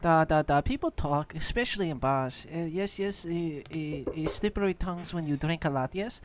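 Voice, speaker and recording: A podcast player voicing a flamboyant, sly, self-assured adventurer who talks in an ingratiating, halting way. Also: medium pitch, lively intonation, a little hiss or hum, natural voice. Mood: sly, persuasive.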